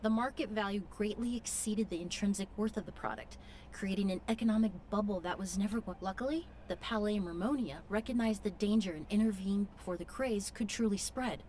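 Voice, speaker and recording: A woman's voice: medium pitch, fairly quick, dark tone, slight background noise, lightly acted. A woman playing a polite, scholarly young perfumer in an anime video game, formal and composed as she explains. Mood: explanatory.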